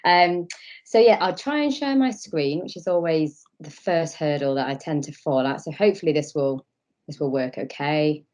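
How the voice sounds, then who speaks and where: Croaky-voiced woman presenting on a video call. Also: low-pitched, normal speed, a little mellow. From England. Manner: hesitant, many fillers and restarts. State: croaky voice from a cold. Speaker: academic conference speaker. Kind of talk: online conference presentation.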